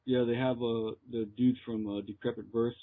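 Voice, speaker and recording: An American man, heard through a thin, phone-like connection, talks in a casual interview. He speaks in a halting, hesitant way, feeling his way through each answer. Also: medium pitch, level tone. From Texas, United States. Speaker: interviewed metal musician. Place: remote call. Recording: muffled, tinny phone line.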